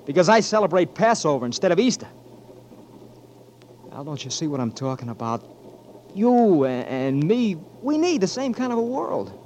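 A man's voice: sits high, sing-song, moderate pace, pauses often, a little mellow, a little hiss or hum. An actor playing a frank, caring young Marine who pleads earnestly with his friend, in 1940s radio-drama style.

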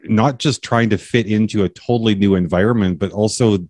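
A man's voice: on the low side, a little fast, darkish tone, no room sound, studio quality. A man hosting an interview podcast, asking curious, rambling questions with frequent restarts. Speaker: podcast host. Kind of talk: casual interview chat.

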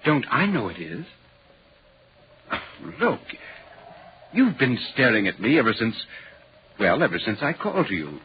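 A polite, inquisitive reporter tells an eerie story in 1940s radio style. His delivery is dramatic and animated in pitch, over a hissy old recording. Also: unhurried, long pauses, dull audio. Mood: curious, polite. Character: inquisitive, polite London newspaper reporter recounting an eerie tale.

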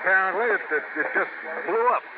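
A man's voice: sits high, quick pace, lightly acted. An actor playing an earnest, formal male insurance company official in a 1950s radio drama. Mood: urgent, earnest. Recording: noisy, narrow-band 1950s radio recording.

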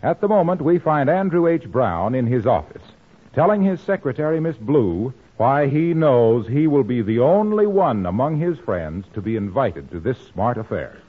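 Lively 1940s male radio announcer, muffled. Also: mid-range voice, moderate pace, breathy edge.

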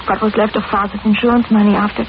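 Woman acting a quick, humble housekeeper, old radio. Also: medium pitch, little pitch movement, lightly acted. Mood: eager, humble. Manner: deferential, earnest. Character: eager, humble, trusting woman seeking housekeeping work. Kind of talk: 1940s radio horror acting. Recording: noisy, muffled old radio recording.

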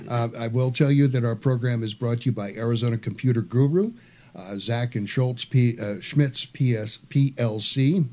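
A man hosting a radio show in a studio, casual and halting, with many fillers. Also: mid-range voice, dark tone, dull audio.

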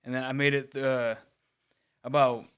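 A man's voice: mid-range voice, flat delivery, a little slow, a bit bright, clear, resonant tone. A man co-hosting a casual podcast chat, hesitant and self-deprecating. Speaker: podcast co-host.

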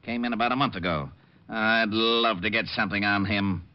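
An actor playing a helpful, slightly exasperated police lieutenant in a 1950s radio drama, talking colloquially. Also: male voice, lowish voice, normal speed, clean tone, natural voice. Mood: businesslike. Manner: colloquial, animated cop talk. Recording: dull old radio recording.

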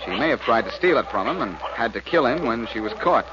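An actor playing a cool, wisecracking tough detective in a 1940s radio drama, wry and commanding. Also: male voice, mid-range voice, expressive, brisk, close to an everyday voice. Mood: brisk, conversational. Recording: muffled, noisy old radio recording.

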